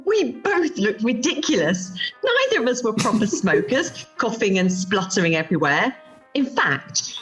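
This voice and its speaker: A woman's voice: a little high, very animated, normal speed, a bit bright, faint music behind, low-quality recording. A woman acting a frank, teasing British best friend in a radio play, dramatic and blunt, recorded over a video call. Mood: exasperated.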